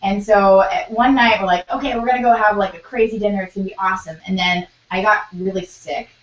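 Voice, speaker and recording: A woman's voice: mid-range voice, steady tone, quick pace. A woman telling a casual anecdote on a live stream in a chatty way, acting out the lines she quotes. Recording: echoey room from far off, dull and noisy.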